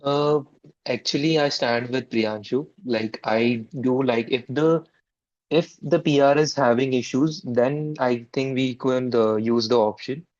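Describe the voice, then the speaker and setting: A man in an online meeting, briefly stating his view in a measured way over a slightly dull lo-fi mic. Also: medium pitch, a little mellow, no room sound. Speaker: community member. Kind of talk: meeting discussion. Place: video call.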